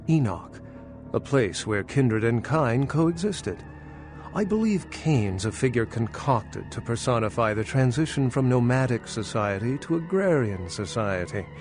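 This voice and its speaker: A man's voice: mid-range voice, a little mellow, natural voice. An actor playing a centuries-old vampire scholar in a video game, articulate, skeptical and dryly condescending as he lectures. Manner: articulate, lecturing, wry, pedantic. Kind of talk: video game dialogue acting.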